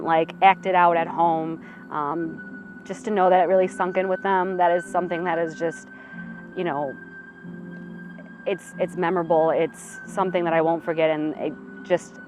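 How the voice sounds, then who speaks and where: A woman in her thirties from Illinois, a museum program coordinator answering interview questions in an earnest, reflective way with frequent "uh" and "um". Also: lowish voice. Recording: slightly muffled audio with faint music behind.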